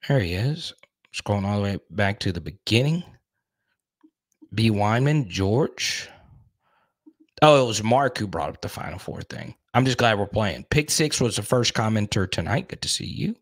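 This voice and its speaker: A man in his thirties hosting a casual live stream, talking into a studio mic in a small room, animated and quick to repeat himself while reading out chat comments. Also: lowish voice. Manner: animated, repeats words, reads chat aloud. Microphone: studio mic on boom arm in front.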